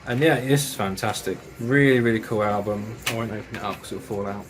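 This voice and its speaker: A man's voice: on the low side, fairly quick. A man casually reviewing metal records and tapes, enthusiastic and chatty, with slang and swearing.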